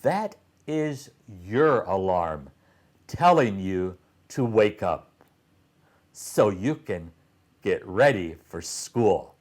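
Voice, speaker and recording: A man voicing a calm, helpful male guide in a children's storybook, patiently explaining things. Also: on the low side, very slow, exaggerated voice. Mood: matter-of-fact.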